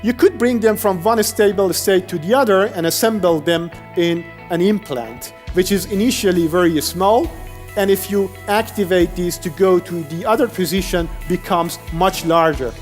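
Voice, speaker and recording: Male engineer, Persian native speaker, steady explanatory lecture, long hall reverb with faint music. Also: high-pitched, moderate pace. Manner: explanatory, steady, some restarts. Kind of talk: academic lecture.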